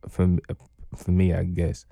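Gravelly low-voiced rapper guest. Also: male voice, monotone, unhurried, warm tone, dry recording. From Guyana. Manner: rambling, slangy, lots of likes and restarts. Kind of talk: casual interview chat.

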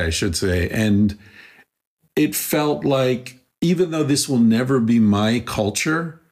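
A man's voice: on the low side, darkish tone. A man interviewing in a casual, reflective way, searching for his words as he goes.

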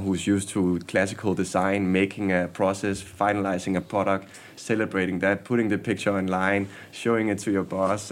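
A man, a guest in a casual interview, speaking candidly with frequent restarts and repeated words. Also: low voice. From Denmark. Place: workshop basement.

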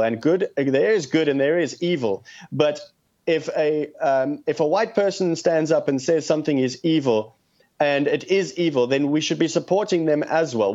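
A man's voice: on the high side, darkish tone. A man with a South African accent, speaking earnestly and passionately as a guest on a call-in show. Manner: earnest, impassioned. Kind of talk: phone-in interview. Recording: muffled lo-fi phone line.

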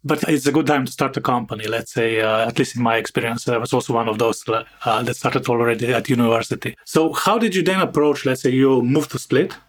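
A man's voice: medium pitch, fairly quick, hardly any pauses, husky. A man hosting an interview podcast in a conversational way, often padding his sentences with filler phrases. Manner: frequently interjects "let's say". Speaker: podcast host.

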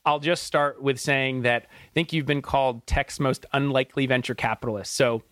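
Low-voiced male podcast host. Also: steady tone, moderate pace, a bit bright, no room sound, clean studio sound. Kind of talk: scripted podcast introduction and interview.

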